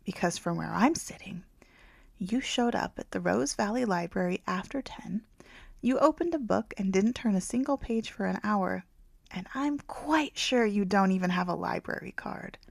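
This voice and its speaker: Woman voicing a male librarian, self-assured, teasing, unhurried, creaky low-key delivery, dry audiobook sound. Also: lively intonation, no room sound. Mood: probing. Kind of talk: audiobook character dialogue.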